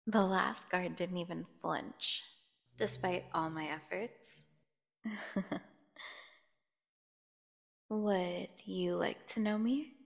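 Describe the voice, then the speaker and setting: A woman playing a seductive, dangerous captive vampire in an ASMR fantasy horror roleplay, teasing and theatrical, with a creaky voice, speaking straight to the listener. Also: lowish voice, steady tone, full character voice. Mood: mocking, amused. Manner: teasing, slow, theatrical, addressing the listener directly. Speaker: audio roleplay performer. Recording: long echoing reverb.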